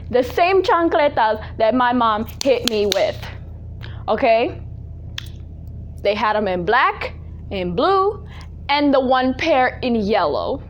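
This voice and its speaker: High-pitched woman, dramatic comic storyteller. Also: a little hiss or hum. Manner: dramatic, exaggerated, acts out family dialogue; drops Spanish phrases into English. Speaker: storyteller, host of a personal story series. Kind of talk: animated comic personal storytelling, casual chat.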